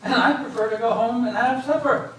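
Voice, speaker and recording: A man's voice: very high-pitched, a little fast, a bit bright. A man on stage playing a curt, dismissive and unhelpful border officer in an amateur readers theater. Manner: dismissive, dry. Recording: echoey hall from far off, faint noise.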